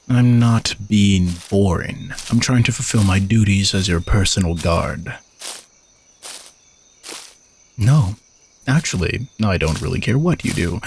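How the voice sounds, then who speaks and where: A man playing an arrogant, teasing young knight bodyguard who is gruffly dutiful, in an audio roleplay spoken to the listener. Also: on the low side, slight background noise. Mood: exasperated, grudging.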